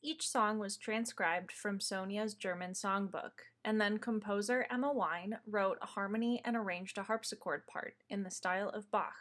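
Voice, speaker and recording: A woman reading scripted narration in a measured, explanatory way, introducing material for an audience. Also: mid-range voice, fairly even intonation, fairly bright tone.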